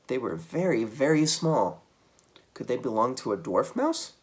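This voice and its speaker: A man reading a children's book aloud as a storytime reader, with dramatic, expressive delivery. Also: lowish voice.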